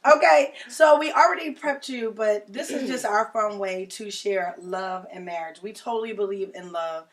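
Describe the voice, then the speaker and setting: A woman's voice: medium pitch, moderate pace, a little hiss or hum. A woman co-hosting a couples' show, bubbly and chatty, with many "like"s. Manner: bubbly, lots of "like". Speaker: podcast co-host. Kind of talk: casual interview chat.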